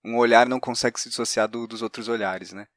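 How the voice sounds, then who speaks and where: A man's voice: medium pitch, steady tone, quick pace, no echo, studio quality. A man with a Brazilian accent hosting a podcast and interviewing a guest in a conversational way.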